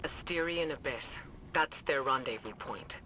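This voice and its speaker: A man's voice: mid-range voice, measured pace, bright, forceful tone, poor audio. A man playing a stern, watchful military mission handler in a video game, giving terse, grave directions. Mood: measured, watchful.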